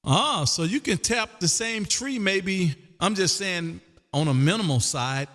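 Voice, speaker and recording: A man's voice: high voice, expressive, unhurried, a bit bright. A man hosting an amateur radio livestream, chatting informally and with curiosity. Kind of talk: casual radio chat. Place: radio room. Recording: long reverb on the voice.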